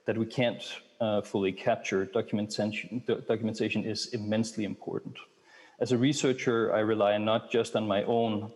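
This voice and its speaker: A middle-aged Danish-born researcher giving a lecture and answering questions, measured and hesitant with frequent fillers. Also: male voice, lowish voice. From Denmark. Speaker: keynote speaker, researcher. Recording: long hall reverb.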